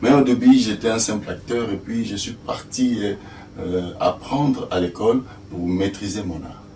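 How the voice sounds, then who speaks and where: An older man from Congo, an actor and stage director answering interview questions slowly with many fillers. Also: on the low side, a little mellow. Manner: slow, hesitant, many fillers. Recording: echoey room, distant mic, faint noise.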